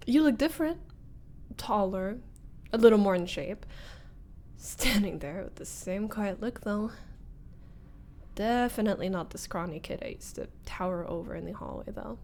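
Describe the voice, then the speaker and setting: A woman's voice: medium pitch, natural voice. A woman voicing a flustered young former bully in a scripted roleplay audio, hesitant and wry. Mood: awkward, teasing. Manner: hesitant, sighing, nervous laughs, wry muttering.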